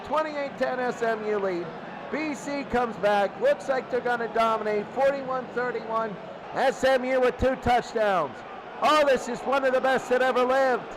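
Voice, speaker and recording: A man calling football play-by-play in radio commentary style, excitable and repeating phrases for emphasis. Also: very high-pitched. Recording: loud crowd and noise behind.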